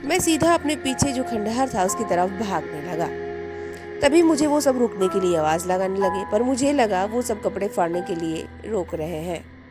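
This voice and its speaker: A woman telling a first-person horror story, dramatic, rising with suspense and dropping to a hush in tense moments. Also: medium pitch, lively intonation, moderate pace. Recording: music bed under the voice.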